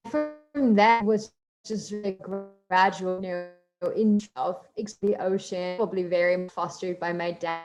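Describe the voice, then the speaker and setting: A woman's voice: fairly low pitch, little pitch movement, a little slow, darkish tone, faint music behind, rough recording. A woman with a German accent, a marine scientist giving a chatty online talk with many fillers and asides. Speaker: marine biologist guest speaker.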